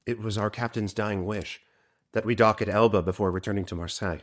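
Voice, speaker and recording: A man's voice: on the low side, steady tone, fairly quick, lightly acted. A man playing an earnest, innocent nineteen-year-old merchant sailor in an audio drama, polite and openly trusting. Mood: nervous, cooperative.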